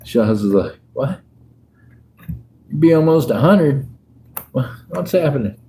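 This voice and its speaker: A man's voice: mid-range voice, lively intonation, slow, mellow, slight background noise. A man, chatting and joking with viewers on a casual livestream. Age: 50s. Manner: laid-back, joking, chats with viewers. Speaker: livestream host. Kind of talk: casual livestream chat while sorting coins.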